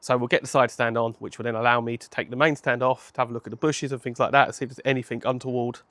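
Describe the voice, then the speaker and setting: A man, hoarse from a cold, chatting casually to camera outdoors on a home patio, rambling and correcting himself as he goes. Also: medium pitch, little pitch movement, rapid-fire, fairly bright tone, dry recording. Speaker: motorcycle vlogger.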